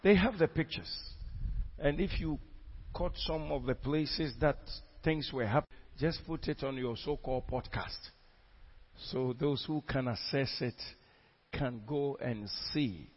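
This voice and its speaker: A man's voice: mid-range voice, unhurried. A man preaching with fervent, exclamatory energy, often repeating his words. His voice sits in an ordinary middle range, and the recording sounds dull and lo-fi. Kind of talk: sermon testimony.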